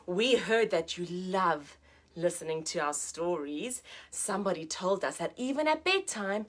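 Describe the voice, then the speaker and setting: A woman hosting a children's story time like a teacher, expressive and sing-song, speaking directly to her young listeners. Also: fairly low pitch, a little slow. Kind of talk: animated read-aloud for young children.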